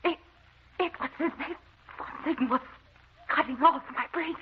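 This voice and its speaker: An actress playing an anxious, haunted wife in a melodramatic old-time radio drama. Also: female voice, high-pitched, normal speed, close to an everyday voice. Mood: rising terror. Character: anxious, haunted wife recounting supernatural terrors. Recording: muffled, noisy old radio recording.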